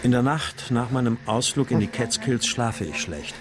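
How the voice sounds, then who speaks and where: An actor playing a brooding father who narrates in the first person, sober and measured. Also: medium pitch, lively intonation, loud music behind the voice, close to an everyday voice.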